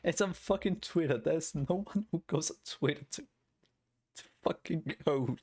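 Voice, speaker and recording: A man's voice: a little high, normal speed, darkish tone, slightly rough. A man from Denmark with a Danish accent, chatting casually on a live stream while he codes, blunt, swearing often and laughing.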